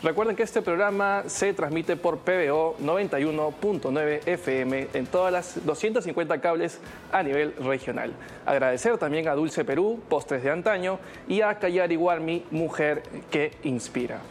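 A man's voice: a little high, normal speed, faint music behind. A man with a Peruvian accent hosting a radio interview in a conversational style. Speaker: radio show host, substitute.

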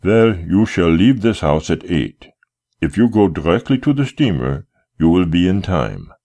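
A man voicing a calm, courteous captor who will not be moved, speaking politely and formally. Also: low-pitched, normal speed. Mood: politely unmoved. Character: calm, courteous, immovable male captor, smiling and bowing.

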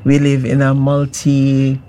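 A panel guest from Montserrat giving community remarks in a measured, hesitant way, often repeating words. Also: mid-range voice, monotone, dark tone. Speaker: education representative, panel guest.

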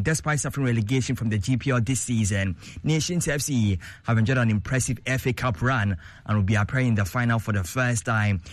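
A man presenting sports news in a steady, formal, scripted delivery. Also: medium pitch, dry recording.

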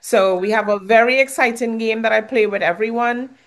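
A woman with a Trinidadian accent hosting a casual interview, chatty and full of fillers and quick affirmations. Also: on the high side.

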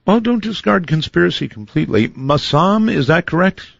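A man's voice: sits high, sing-song, normal speed, gravelly, no echo, lo-fi sound. A man hosting a late-night call-in radio show, dry and wisecracking with callers and guests. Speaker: radio talk show host.

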